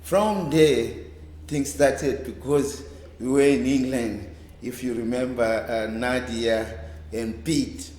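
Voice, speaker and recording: A man's voice: medium pitch, darkish tone. South African man in his fifties, echoey room. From South Africa, Cape Town township. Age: 50s. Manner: halting, repeats words, rambling recollection. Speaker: interview guest, reggae bass player. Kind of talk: casual oral-history interview. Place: indoors in a library room. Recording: roomy, echoey indoor sound.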